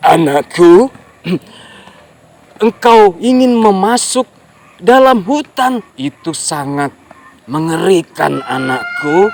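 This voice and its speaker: A storyteller voicing a worried grasshopper parent who gently warns their child in a storybook tale. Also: medium pitch, gravelly, slight background noise. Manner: gentle, cautioning.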